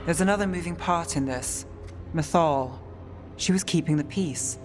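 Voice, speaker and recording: Someone playing calm wry fantasy companion, mid pitch, terse, faint music. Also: normal speed, lightly acted. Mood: measured. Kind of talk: fantasy video game dialogue.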